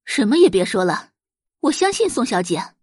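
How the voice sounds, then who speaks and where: A woman's voice: a little high, sing-song, moderate pace, darkish tone, slightly rough, no echo, clean studio sound. A woman voicing an indignant, strong-willed wife and mother in an audio drama. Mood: firm.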